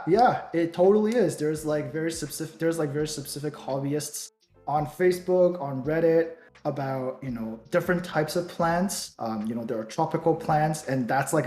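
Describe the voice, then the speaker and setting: A man's voice: fairly high pitch, reverberant. A young man from Taiwan, co-hosting a casual podcast chat, rambling with many fillers. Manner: relaxed, rambling with many ums and likes. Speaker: podcast co-host.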